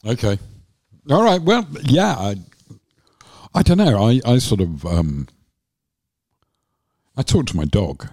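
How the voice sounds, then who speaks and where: A man hosting a casual interview, phrasing his questions and remarks tentatively and haltingly. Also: mid-range voice, big pitch swings, a little mellow. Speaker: podcast interviewer.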